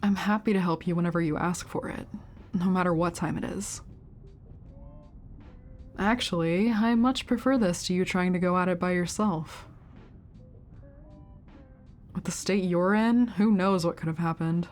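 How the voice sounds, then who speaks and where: Woman acting a teasing, caring older sister, low-toned. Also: normal speed, long pauses, soft background music, natural voice. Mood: gently teasing.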